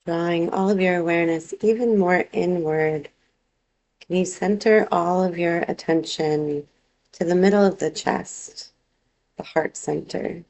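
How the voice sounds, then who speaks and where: Slow, creaky-voiced woman guiding relaxation. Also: on the low side, low-quality recording. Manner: calm, unhurried, gently instructive, with long phrasing. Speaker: yoga nidra teacher. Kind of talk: guided relaxation meditation, slow instruction.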